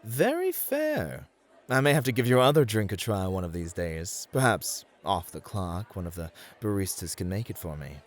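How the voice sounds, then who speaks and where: A man's voice: sits low, very animated, normal speed, slight background noise. A man playing a cheeky, flirty, playful club host in an ASMR roleplay. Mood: relaxed, friendly. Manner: playful, bantering, polite to guests.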